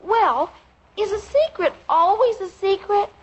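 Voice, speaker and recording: A woman's voice: very high-pitched, expressive, measured pace. An actress playing a glum, stubborn, whiny little girl in a 1950s radio sitcom. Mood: pleading. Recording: noisy, muffled old radio recording.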